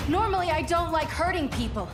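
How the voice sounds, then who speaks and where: An actress playing a fierce, defiant young Kryptonian superhero in a game cutscene. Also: female voice, extremely high pitch, expressive, fairly quick, echoey room, over loud music. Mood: threatening. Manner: defiant, forceful. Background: loud orchestral game score.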